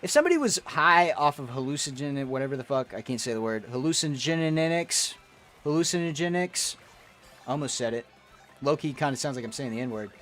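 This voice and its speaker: Animated, foul-mouthed man ranting. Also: mid-range voice, brisk, soft background music. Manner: profane, rambling, ranting. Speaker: gaming streamer. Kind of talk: casual rambling stream chat.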